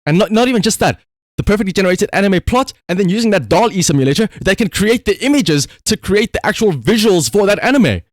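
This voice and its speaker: A man's voice: high voice, animated, quick pace, dry recording, clean studio sound. A man giving casual livestream commentary in a rambling, conversational, emphatic way, as the host talking to viewers.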